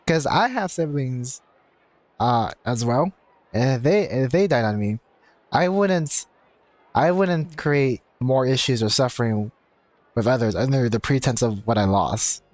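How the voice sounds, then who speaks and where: A man's voice: medium pitch, expressive, a little slow, a little mellow, no echo. A man chatting casually on a game livestream, explaining himself and circling back over his points. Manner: repetitive, explanatory. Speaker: game streamer. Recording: game music behind voice.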